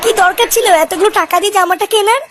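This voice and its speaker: A woman's voice: extremely high pitch, rapid-fire, noisy background. A woman voicing a worried, thrifty old grandmother in a comedy cartoon, fretful and mildly chiding. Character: worried, thrifty grandmother fretting over household money.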